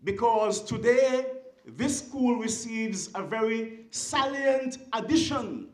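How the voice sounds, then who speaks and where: A speaker with a Kittitian accent giving a formal ceremonial speech in a deliberate, oratorical way, with emphatic short phrases. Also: medium pitch. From Saint Kitts and Nevis. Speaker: guest speaker at a school ceremony. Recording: echoey venue sound.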